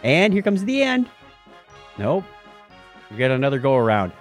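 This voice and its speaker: Animated man hosting a casual podcast. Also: mid-range voice, normal speed, faint music behind. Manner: jokey, theatrical announcing, self-deprecating. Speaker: podcast host. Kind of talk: casual comedic tech news chat.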